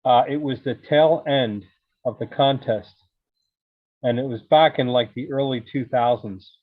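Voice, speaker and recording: A man's voice: medium pitch, unhurried, no echo. Man on a tinny, narrowband call. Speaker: amateur radio club member. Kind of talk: casual anecdote in online meeting.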